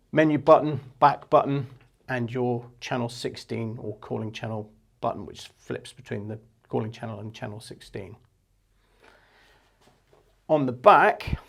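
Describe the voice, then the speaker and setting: A man's voice: mid-range voice, gravelly. A man in his fifties giving an unscripted product demonstration, explaining things in a plain, matter-of-fact way into a lapel mic. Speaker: product presenter for a marine equipment shop. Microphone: clip-on lapel mic.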